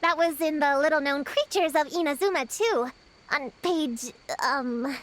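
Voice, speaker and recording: A woman voicing a small, bookish girl in a video game, who takes charge briskly yet gets flustered easily. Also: high voice, fairly bright tone, rough recording. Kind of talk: video game character dialogue.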